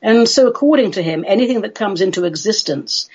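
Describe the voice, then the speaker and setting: A woman's voice: medium pitch, animated, fairly quick, darkish tone, breathy edge, poor audio. A woman from London with a London English accent hosting a chatty solo radio show in a playful, theatrical, droll manner. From London, England.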